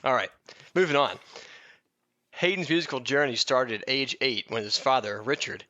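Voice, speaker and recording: A man's voice: mid-range voice, lively intonation, moderate pace, pushed and bright, dry recording, lo-fi sound. A man in his thirties from Alabama, co-hosting a podcast in a casual, conversational way. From Alabama, United States. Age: 30s. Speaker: podcast co-host.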